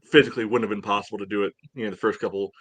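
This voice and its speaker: Low-voiced man, dry and close. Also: steady tone, very fast, fairly bright tone, slightly rough, dry recording. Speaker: podcast co-host. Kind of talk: casual sports fan podcast chat.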